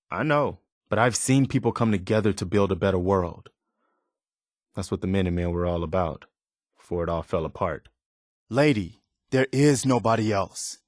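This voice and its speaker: A male actor plays a weary, idealistic leader of a wasteland militia in a video game. His voice sits a little low and he speaks with measured, earnest sincerity. The recording is very dry, with no room around the words. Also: no room sound, natural voice. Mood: resolute, then challenging. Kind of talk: video game character dialogue.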